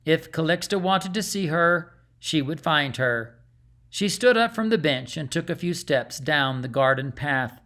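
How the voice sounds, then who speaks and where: A man narrating a fantasy storybook in a measured, dramatic way. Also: fairly high pitch, animated, sharp tone. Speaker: audiobook narrator.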